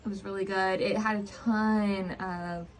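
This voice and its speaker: Hesitant woman chatting, medium pitch, noisy room. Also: steady tone. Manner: hesitant, halting delivery full of "like". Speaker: book vlogger co-hosting a Q&A tag. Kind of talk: casual chat.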